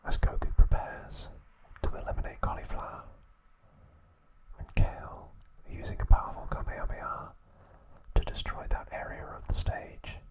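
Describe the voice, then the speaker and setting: A man whispers throughout in an ASMR style, hushed and unvoiced. He narrates like a trivia host, taking his time and phrasing each piece deliberately, with pauses in between. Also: poor audio.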